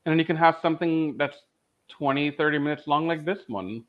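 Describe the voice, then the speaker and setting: A man's voice: a little high, brisk. A man co-hosting a podcast, chatting casually as he reviews a show as a fan. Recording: slightly dull, lo-fi audio.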